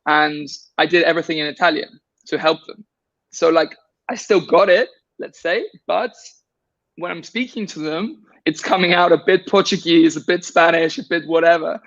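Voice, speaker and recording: A man's voice: a little high, moderate pace, a bit bright, no room sound, highs cut off. A man guest in a casual conversation, rambling with many restarts and fillers.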